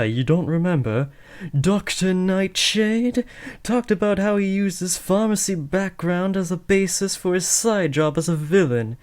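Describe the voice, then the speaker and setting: A man's voice: high voice, a little mellow. A young man playing a villain's teenage son in a scripted roleplay, taunting theatrically and slipping into sheepish, casual talk. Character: teenage villain's son playing at kidnapping, cocky but awkward. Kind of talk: scripted roleplay audio addressed to the listener.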